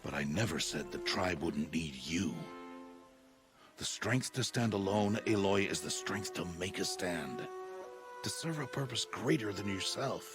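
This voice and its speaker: A man playing a stern, solemn middle-aged outcast hunter and foster father in a video game, speaking in a grave, measured, formal way. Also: low voice, expressive, over music. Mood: solemn, instructive. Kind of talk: video game cutscene voice acting.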